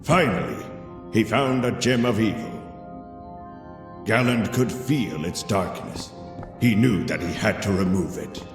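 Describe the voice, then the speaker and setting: A man playing a patient storyteller, narrating a knight's adventure for a video game in a measured, dramatic way. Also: on the low side, lightly acted. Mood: measured, nostalgic. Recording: long reverb, music underneath.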